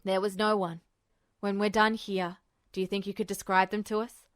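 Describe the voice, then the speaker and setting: A woman's voice: medium pitch, flat delivery, normal speed, no room sound, clean studio sound, pitched below the performer's own voice, lightly acted. A woman voicing an earnest, apologetic military man in acted audiobook dialogue. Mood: regretful, earnest.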